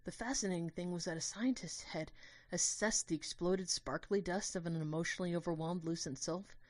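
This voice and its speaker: A woman's voice: fairly low pitch, fairly quick, no echo. A woman reading scripted first-person story narration as the narrator of an audio drama.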